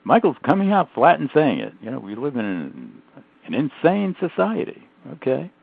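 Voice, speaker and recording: A man hosting a radio talk show, introducing his guest in an emphatic, animated way with frequent fillers. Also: mid-range voice, normal speed, gravelly, husky, no echo. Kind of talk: radio interview with lively promotional delivery. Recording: tinny phone-like audio.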